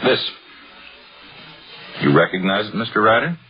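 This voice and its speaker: A man's voice: fairly low pitch, sing-song, a little slow, faint music behind. A man playing a blunt, probing police detective with clipped questioning, in 1950s radio drama style. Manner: clipped, matter-of-fact questioning. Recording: dull old radio drama recording.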